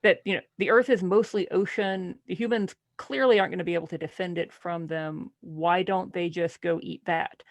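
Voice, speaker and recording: A podcast panelist talking casually through a book, questioning it with animated incredulity and frequent restarts. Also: mid-range voice, normal speed, few pauses, no room sound.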